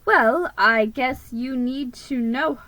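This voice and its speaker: A woman's voice: high-pitched, takes it slowly, pushed and bright, no echo, exaggerated voice. A woman voicing a jealous, hot-tempered little male Christmas elf in a storybook, shrieking and grumbling his complaints.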